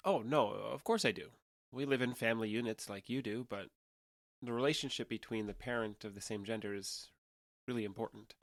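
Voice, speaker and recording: A man's voice: lowish voice, brisk, dry recording, clean studio sound, natural voice. An American man playing a guarded, sincere alien who passes for a young adult, explaining himself hesitantly in a naturalistic audio drama. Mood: earnest explaining.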